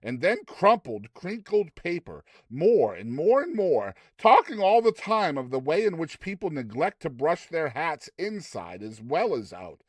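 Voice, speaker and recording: A man narrating a story in an expressive, dramatized reading style. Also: fairly high pitch, unhurried, hardly any pauses, no echo.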